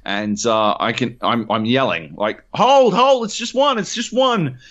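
Profane, animated Australian man spinning a yarn. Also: medium pitch, measured pace, muffled recording. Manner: animated, profane storytelling with many fillers. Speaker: podcast co-host. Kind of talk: casual podcast chat.

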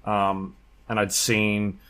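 A man's voice: fairly low pitch, monotone, takes it slowly, a bit bright, smooth. A man talking casually as a podcast co-host, explaining his experience with video games in a conversational way. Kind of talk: casual unscripted chat.

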